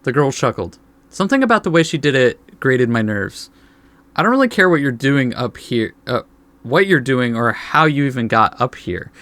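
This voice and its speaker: A man's voice: medium pitch, lively intonation, normal speed, a bit bright, no echo. Guy doing a let's play, reads dialogue then chats casually, mid voice, close dry mic. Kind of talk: reading game text aloud with casual commentary.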